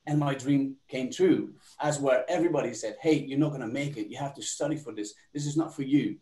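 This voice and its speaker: A man's voice: mid-range voice, brisk, mellow, echoey room. A man, speaking at a webinar over a video call and telling his story with small hesitations. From Belgium. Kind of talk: webinar talk. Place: home office.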